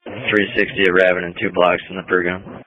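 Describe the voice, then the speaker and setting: A man's voice: sits low, level tone, brisk, a little hiss or hum. A man working as a patrol officer, making terse call-ins over police radio. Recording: phone-like narrow-band police scanner audio.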